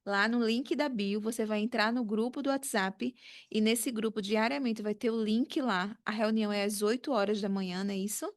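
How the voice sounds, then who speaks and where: A woman's voice: mid-range voice, steady tone, moderate pace. A woman with a Brazilian accent hosting a podcast in chatty, conversational talk, often checking in with short tag questions. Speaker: podcast host.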